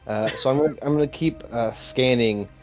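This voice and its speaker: A man's voice: mid-range voice, brisk. A man chatting casually as a player in a tabletop game, thinking aloud hesitantly.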